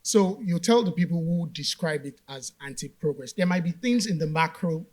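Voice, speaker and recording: Direct, fairly high-voiced male panelist. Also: expressive, moderate pace, darkish tone. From Nigeria. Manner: direct, challenges premises, then builds to emphatic points. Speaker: panel speaker. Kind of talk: motivational panel talk. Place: conference stage.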